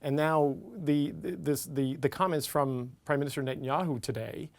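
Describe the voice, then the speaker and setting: A man's voice: fairly high pitch, normal speed, a little mellow. A man hosting a political TV interview, pressing with questions and stumbling over restarts. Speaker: TV news host.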